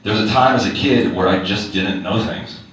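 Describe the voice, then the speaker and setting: A man's voice: on the low side, moderate pace, fairly bright tone. A male teacher reading his own personal essay aloud to a live audience, wry and conversational, with direct questions to the listeners. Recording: very echoey hall, distant mic, faint noise.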